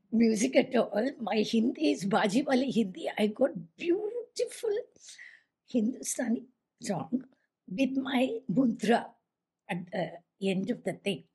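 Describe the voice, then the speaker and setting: A woman's voice: a little high, warm tone, slightly rough. A Tamil-speaking woman shares her spiritual experience earnestly, hesitating as she searches for words. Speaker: group participant sharing her experience. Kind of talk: personal testimony.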